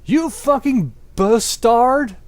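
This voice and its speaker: Man doing a shouting, jealous teenage goth boy. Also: animated, very slow, dry recording, full character voice. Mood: furious. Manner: shouting, high-strung. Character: jealous, hot-tempered teenage gothic boy rivals.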